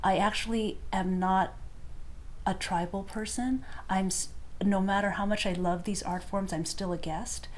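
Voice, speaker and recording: A woman speaking reflectively in an interview, pausing often with hesitations. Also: medium pitch, fairly even intonation, a little hiss or hum. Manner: thoughtful, many hesitations.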